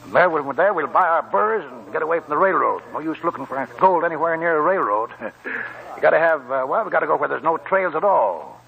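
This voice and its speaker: An actor playing a cheerful, wily old gold prospector with folksy, rambling talk, in 1940s radio style. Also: male voice, a little high, very animated, brisk, narrow, tinny audio. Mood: eager. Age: older. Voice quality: creaky old-timer's voice.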